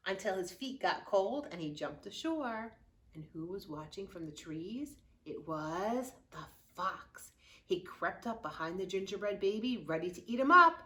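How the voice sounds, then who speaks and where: Bright-voiced woman reading a children's story. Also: medium pitch. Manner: expressive, dramatic storytelling with drawn-out words. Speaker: youth services librarian reading aloud. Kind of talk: children's picture book read-aloud.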